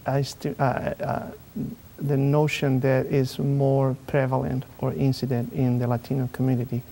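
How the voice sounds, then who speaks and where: A man's voice: medium pitch, monotone, creaky, warm tone. A man from Spain, a neurosurgeon guest on a TV talk show, explaining carefully with many fillers. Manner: measured, halting with fillers. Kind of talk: TV interview, explaining.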